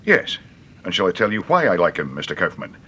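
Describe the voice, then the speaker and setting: Radio drama supporting role: blunt British inspector, hard-edged tone, fast lively delivery. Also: male voice, sits low, husky, exaggerated voice. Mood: forthright, approving. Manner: blunt, forthright. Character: blunt, plain-speaking British police inspector. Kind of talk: radio mystery drama acting.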